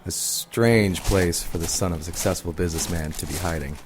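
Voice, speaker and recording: An actor playing an earnest, cautious young police detective, giving measured first-person narration in an audio drama. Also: male voice, sits low, slight background noise, close to an everyday voice. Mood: cautious, tense.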